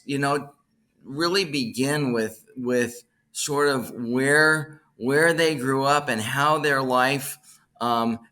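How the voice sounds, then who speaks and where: Male interview host, bright tone, unscripted, halting delivery with frequent restarts. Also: mid-range voice. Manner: halting, repeats words and restarts phrases. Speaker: podcast host, bookstore owner. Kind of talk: unscripted podcast introduction and interview.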